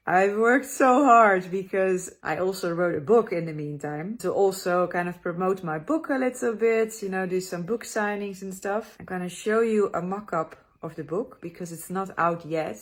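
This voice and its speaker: Breathless woman vlogger. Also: on the low side. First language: Dutch. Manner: tired, hesitant, frequent restarts. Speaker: travel vlogger. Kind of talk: casual talk to camera.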